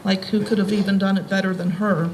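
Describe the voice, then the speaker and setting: A woman's voice: medium pitch, little pitch movement, fast, a little mellow. An American woman from Virginia giving an informal public talk and reading aloud, addressing listeners directly in a folksy way. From Front Royal, Virginia, USA. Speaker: schoolteacher, heritage society president giving a reading. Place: live talk at a historic house tour. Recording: faintly noisy live event recording.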